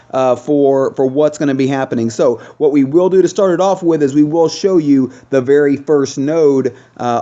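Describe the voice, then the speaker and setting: A man hosting a gaming video with casual, chatty commentary, thinking aloud with trailing pauses. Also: fairly high pitch, warm tone, highs cut off.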